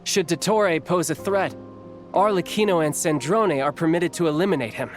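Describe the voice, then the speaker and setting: An actor playing an excitable, cheeky young warrior in a video game, with an expressive, boyish delivery. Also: male voice, a little high, normal speed, natural voice. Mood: businesslike. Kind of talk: English video game voice acting. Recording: game music behind the voice.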